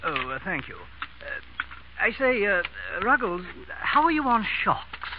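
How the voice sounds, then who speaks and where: Querulous, befuddled English aristocrat, high-pitched, hesitant, noisy dull vintage radio recording. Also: male voice, very animated, takes it slowly, full character voice. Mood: sheepish. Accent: upper-class English. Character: grumpy, befuddled English lord, bumbling and hesitant. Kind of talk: 1930s radio acting.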